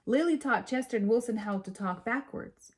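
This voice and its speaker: A woman reading a children's story aloud in an expressive, measured storytelling manner. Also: fairly high pitch, slightly rough. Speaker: storybook reader.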